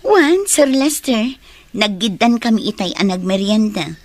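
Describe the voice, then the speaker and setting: A woman's voice: mid-range voice, lively intonation, unhurried, a little mellow, no room sound. A woman playing a friendly, loyal and chatty female teacher in an audio drama. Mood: flustered. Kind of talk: Ilocano audio drama acting.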